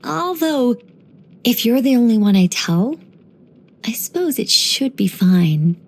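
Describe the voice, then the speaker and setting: A woman voicing a gentle, wry former angel turned human storyteller in a video game, musing and lightly teasing in an unhurried way. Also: on the high side, big pitch swings, full character voice. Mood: teasing, confiding. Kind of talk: scripted video game character voice lines.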